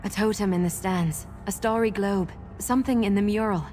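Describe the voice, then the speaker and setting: An actress playing an earnest young woman in a video game who seeks her lost memories, speaking in a measured, sincere way. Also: medium pitch, dark tone, a little hiss or hum, lightly acted. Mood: determined. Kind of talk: video game character acting.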